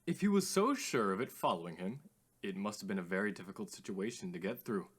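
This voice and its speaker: A man playing a courteous, theatrical radio call-in host with formal, drawn-out greetings in a radio drama. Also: lowish voice, lively intonation, breathy edge. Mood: polite, theatrical.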